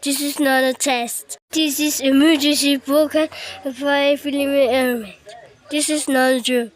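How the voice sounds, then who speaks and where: A woman's voice: high voice, steady tone, normal speed. A woman reading a scripted emergency broadcast alert in short, stilted, repetitive phrases.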